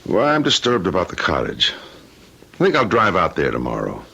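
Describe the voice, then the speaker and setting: Gravelly, stubborn radio husband. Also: male voice, sits low, wildly swooping pitch, normal speed, bright, forceful tone, exaggerated voice. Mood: casual, evasive. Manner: evasive, dismissive, determined. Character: stubborn, dismissive husband set on going. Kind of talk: old-time radio drama acting. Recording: noisy old radio recording.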